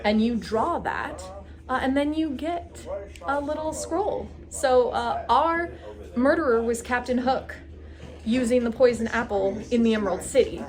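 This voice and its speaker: A woman's voice: on the high side, animated, measured pace, a crowd in the background. A woman chatting enthusiastically and casually as the host of a group recap vlog.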